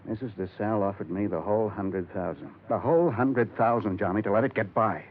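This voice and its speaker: An actor playing a weary, bitter, crooked investigator in a 1950s radio drama, talking in a slow, heavy, halting way. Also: male voice, on the low side, close to an everyday voice. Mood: resigned. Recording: dull, noisy 1950s radio recording.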